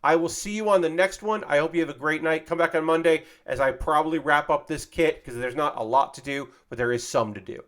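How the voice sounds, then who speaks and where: A man's voice: a little high, a little fast, few pauses, fairly bright tone. A man casually chatting on a livestream while building a model kit, loose and profane, tired with a slightly worn voice. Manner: loose and profane, lots of fillers.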